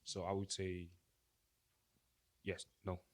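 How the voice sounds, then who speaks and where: A young man from Nigeria giving slow, measured, brief answers in a casual youth discussion. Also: deep voice, completely flat delivery. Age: young adult. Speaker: youth podcast panelist. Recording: faint background noise.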